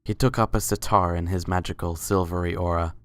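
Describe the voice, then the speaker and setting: Man, story narrator, low pitch, steady and restrained intonation. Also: brisk, smooth, no room sound, clean studio sound.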